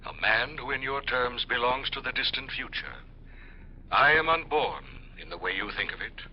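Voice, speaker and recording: An actor playing an earnest, formal scientist from the distant future in 1950s radio drama style. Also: male voice, mid-range voice, normal speed, breathy edge, lightly acted. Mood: solemn, mysterious. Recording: muffled, noisy 1950s radio recording.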